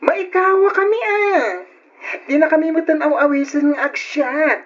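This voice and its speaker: An actress playing an excitable, catty young gossip in a radio drama, speaking with a Filipino accent. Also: female voice, sits high, a little slow. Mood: mock-sulky. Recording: dull, lo-fi old radio drama recording.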